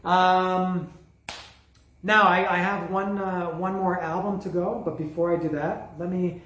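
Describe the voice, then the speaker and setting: Man, casual music vlogger at home, slightly high voice, hoarse, rambling, echoey room. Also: flat delivery, measured pace, fairly bright tone. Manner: rambling, many fillers and restarts. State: losing his voice. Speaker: music vlogger reviewing albums. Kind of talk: casual solo video talk. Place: home room with bookshelf below camera. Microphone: camera setup mic.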